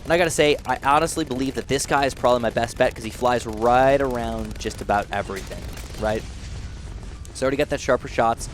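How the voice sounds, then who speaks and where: A young man with a nasal, youthful-sounding voice thinks out loud as he plays, rambling and then bursting into excited exclamations. His pitch sits in an average range. A faint hiss of noise runs under the recording. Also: a little fast. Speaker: gaming channel host. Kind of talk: casual gameplay commentary.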